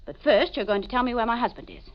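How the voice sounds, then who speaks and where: A woman's voice: a little high, animated, fast talker, natural voice. An actress playing a distraught, insistent wife who has lost her identity, in melodramatic 1940s radio mystery style. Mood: insistent, agitated. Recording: muffled old 1940s radio recording, organ music.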